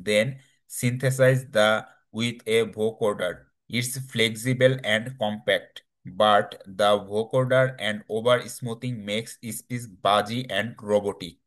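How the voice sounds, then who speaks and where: A man giving a technical slide presentation in a halting, hesitant way, with non-native English phrasing. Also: fairly low pitch, a bit bright.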